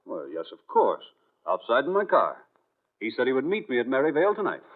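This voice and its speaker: A self-assured, skeptical husband in a vintage radio drama. His medium-pitched voice moves in animated swings, brisk and reassuring. The recording is dull and narrow, like an old broadcast. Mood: insistent, puzzled. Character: confident, skeptical gentleman governor, unruffled husband.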